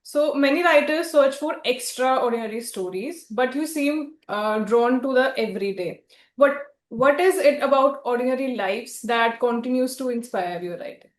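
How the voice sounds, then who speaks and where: A woman's voice: high-pitched, moderate pace. A woman hosting an author interview, speaking politely and deferentially with partly scripted introductions and questions. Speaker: interviewer and host for a publisher. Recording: roomy echo.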